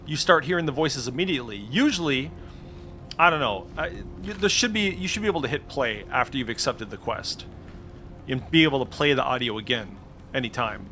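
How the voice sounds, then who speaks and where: A man's voice: medium pitch, expressive, fairly quick, pushed and bright, a little hiss or hum. A man giving casual, informal screen-share commentary as a game streamer, swearing freely. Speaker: streamer demonstrating game add-ons.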